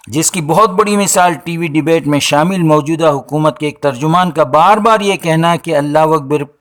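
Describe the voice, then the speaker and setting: Higher-pitched man, oratorical delivery. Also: a bit bright. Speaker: social and religious commentator. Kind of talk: scripted opinion monologue in formal Urdu-laced speech.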